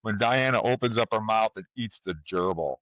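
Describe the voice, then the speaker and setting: A man's voice: on the low side, a little fast, fairly bright tone, no echo. Man hosting, slightly dull lo-fi sound. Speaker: podcast host running a trivia quiz. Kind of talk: casual chat and quiz hosting.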